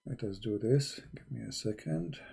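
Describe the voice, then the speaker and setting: A man with a husky voice hosting an argumentative religious debate, insistently challenging his opponent and repeating his questions. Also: mid-range voice, moderate pace, warm tone. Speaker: host of a religious debate call-in.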